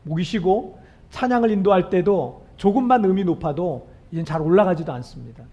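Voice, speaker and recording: A male pastor preaching a sermon in an earnest, emphatic way, often putting questions to the congregation. His voice is weakened and hoarse and cracks on high notes. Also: brisk, warm tone, dull audio.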